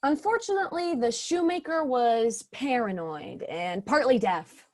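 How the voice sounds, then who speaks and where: A woman's voice: a little high, animated, unhurried. Woman narrating a stage fairy tale. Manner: wry, storytelling.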